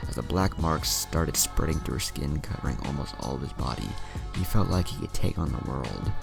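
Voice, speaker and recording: A man with a gravelly voice narrating a scripted action story. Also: lively intonation, moderate pace. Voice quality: very deep, gravelly. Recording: music under the narration. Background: music.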